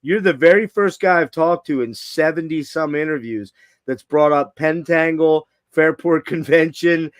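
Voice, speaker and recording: A middle-aged man hosting an interview on a video call, enthusiastic and quick to agree. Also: on the high side, dry recording, clean studio sound. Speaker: podcast host. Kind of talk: casual interview chat.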